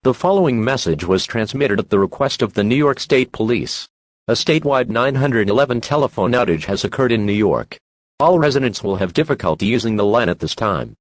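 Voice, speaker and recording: A man's synthetic-sounding voice reading a formal emergency bulletin as an announcer, flat and impersonal. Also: mid-range voice, brisk, no echo.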